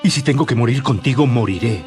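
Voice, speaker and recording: A man's voice: mid-range voice, fast talker, close to an everyday voice. An actor playing a devoted male disciple in an audio Bible drama, speaking earnestly and with deference. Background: music.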